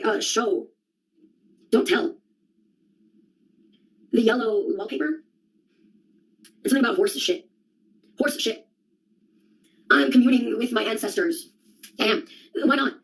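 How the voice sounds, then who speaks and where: A woman's voice: a little high, moderate pace, long pauses, husky, rough recording. A young adult woman in a rambling solo monologue, sardonic and profane, jumping from one topic to the next. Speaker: vlogger.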